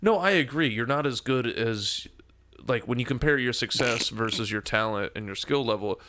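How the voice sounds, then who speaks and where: A man's voice: medium pitch, moderate pace, noisy background. A man co-hosting a comedy podcast, telling crude stories with brash, profane banter. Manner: brash, profane, mock sports-interview bits. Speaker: podcast co-host.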